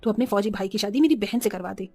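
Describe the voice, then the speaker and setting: A woman voicing a jealous, insistent village wife and mother in an animated horror story. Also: medium pitch, fast talker, lightly acted. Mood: insistent, angry. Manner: scolding, insistent.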